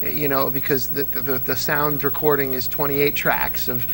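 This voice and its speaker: A man's voice: medium pitch, flat delivery, moderate pace, vocal fry, fairly bright tone, a little hiss or hum, poor audio. A middle-aged man chatting casually as an interview guest, telling anecdotes with enthusiasm and emphatically repeating words. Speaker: interview guest, old-time radio fan.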